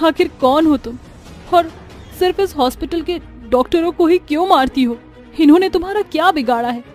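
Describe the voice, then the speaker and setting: एक औरत कार्टून कहानी में चुड़ैल का सामना करती एक साहसी, सच्चे दिल की पत्नी की विनती भरी आवाज़ निभा रही है।. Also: female voice, extremely high pitch, normal speed, darkish tone. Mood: demanding. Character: brave, earnest wife confronting a killer witch. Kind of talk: Hindi cartoon story acting. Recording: faint music underneath.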